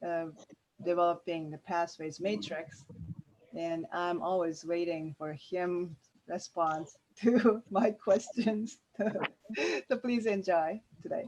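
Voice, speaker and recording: Woman walking people thru a workshop on a video call, slow, lots of uh and restarts. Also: lowish voice, steady tone, a little mellow, slight background noise, poor audio. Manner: hesitant, halting, with many fillers. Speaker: workshop presenter. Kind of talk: online meeting presentation.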